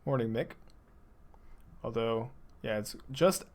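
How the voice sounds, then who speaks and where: A man's voice: medium pitch, slow. A young man from Texas with a mild urban Texan accent, thinking aloud in dry, sarcastic casual commentary as he plays a card game for viewers. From Texas, USA. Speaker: streamer playing a card game. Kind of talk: casual commentary over gameplay, chatting with viewers.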